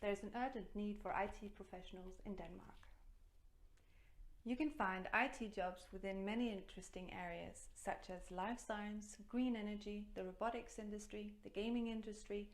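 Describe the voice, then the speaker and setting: A woman presenting in a clear, scripted promotional read. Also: mid-range voice, steady tone, normal speed. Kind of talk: scripted narration.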